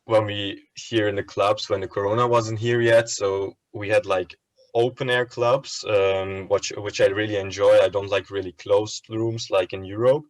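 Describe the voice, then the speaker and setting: A man, answering interview questions in a relaxed way and pausing to search for words. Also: fairly low pitch, dry recording. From Turkey. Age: 20s. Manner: easygoing, searches for words with ums and likes. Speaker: student interviewee.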